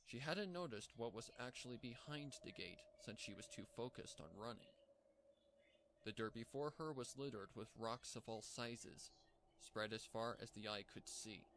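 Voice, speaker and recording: A man's voice: mid-range voice, lively intonation, normal speed, a bit bright. A man reading a scripted story as a dramatic narrator, with a noisy background. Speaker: fan fiction narrator.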